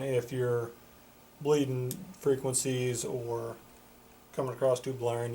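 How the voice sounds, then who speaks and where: A man's voice: medium pitch, warm tone, slight background noise. A man giving a casual product demonstration as a reviewer, plain-spoken and matter-of-fact. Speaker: product reviewer for a CB radio shop.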